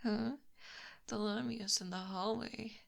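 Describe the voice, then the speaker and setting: Woman playing a slow, whispery lamia monster girl. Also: mid-range voice, fairly even intonation. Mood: doting, teasing. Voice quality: breathy, whispery. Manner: soft, teasing, slow and deliberate. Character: possessive teasing lamia monster girl caretaker. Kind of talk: ASMR roleplay. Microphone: close binaural microphone.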